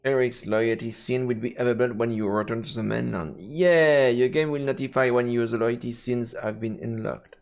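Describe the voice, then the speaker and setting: A man's voice: mid-range voice, normal speed, few pauses, a little mellow. A man with a French accent reading game text aloud and adding casual, playful, theatrical commentary as a live streamer. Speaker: live streamer playing a visual novel. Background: faint game music.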